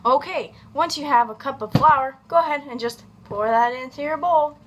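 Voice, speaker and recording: A young adult woman giving a casual, comedic cooking tutorial in a home kitchen, with playful asides and drawn-out words. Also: sits high, dull audio. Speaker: host of a home cooking video. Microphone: camera on counter at about arm's length. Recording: background kitchen noise, clicks.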